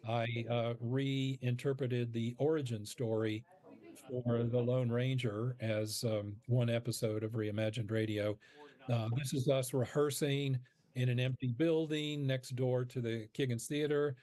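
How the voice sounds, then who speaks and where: A man being interviewed as a radio producer, explaining his work in measured phrases with halting pauses mid-sentence. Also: medium pitch, fairly even intonation, dark tone.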